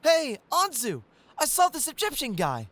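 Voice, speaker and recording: A woman playing a cheerful, eager high-school kid in an audio drama, bubbly and full of exclamations. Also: wildly swooping pitch, a little slow, fairly bright tone, heavily acted. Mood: excited.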